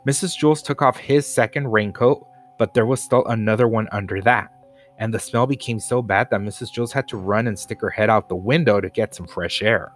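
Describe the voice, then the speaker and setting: A man's voice: fairly low pitch, normal speed. Man reading a children's story over faint music. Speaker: storybook reader. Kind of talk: scripted children's story narration.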